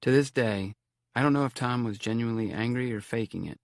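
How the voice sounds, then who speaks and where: A man reading a first-person audiobook in a calm, reflective voice. His tone is gravelly, with a medium pitch. The recording is dry and close. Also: fairly quick, dry recording. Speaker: audiobook narrator.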